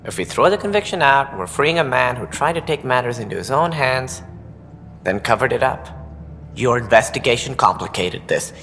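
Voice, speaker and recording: An earnest male colony director with a bright, forceful tone, speaking deliberately. His voice carries a long reverb over faint ambience. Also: medium pitch, animated, a little hiss or hum.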